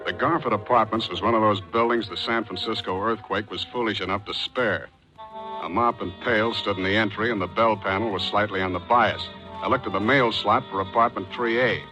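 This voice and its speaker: An American actor in a 1940s radio drama playing a cool, wisecracking private detective, dry, clipped and sardonic. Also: male voice, fairly low pitch, fairly even intonation, brisk, music in the background, close to an everyday voice, rough recording. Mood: dry narrating. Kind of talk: 1940s radio acting with first-person narration. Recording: old 1940s radio recording.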